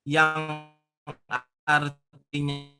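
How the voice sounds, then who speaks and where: A man with a Sundanese accent teaching Quran recitation, sounding out syllables slowly and firmly and repeating them. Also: on the high side, monotone, music in the background. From West Java. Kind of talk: recitation lesson.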